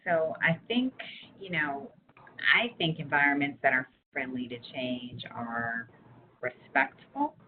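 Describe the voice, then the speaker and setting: A woman's voice: a bass voice, slow pace. A woman being interviewed over the phone, talking casually and informally about her work, with lots of "like". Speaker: interviewee, community engagement program staffer. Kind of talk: casual research interview, conversational. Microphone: phone line. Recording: muffled lo-fi phone call, clear noise.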